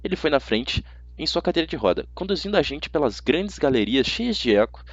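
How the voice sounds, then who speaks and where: A man with a Brazilian accent reading a first-person youth fantasy novel as an amateur audiobook narrator, in an expressive, conversational storytelling way. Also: on the high side, a little fast, breathy edge, dry recording, lo-fi sound.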